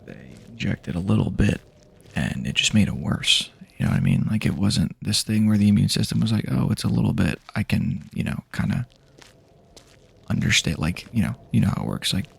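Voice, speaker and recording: A man improvising an ASMR roleplay monologue in a soft, breathy half-whisper, rambling hesitantly with frequent pauses. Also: sits low. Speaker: ASMR performer playing a companion on a post-apocalyptic journey. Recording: faint background ambient noise.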